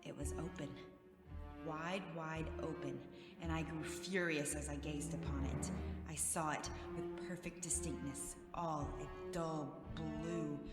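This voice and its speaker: A woman playing a mad, cunning killer in a gothic audio drama, delivering a confession in a theatrical, deliberate and insistent way, repeating phrases for emphasis. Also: low-pitched, steady tone. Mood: low, brooding. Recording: echoey reverberant sound under loud music.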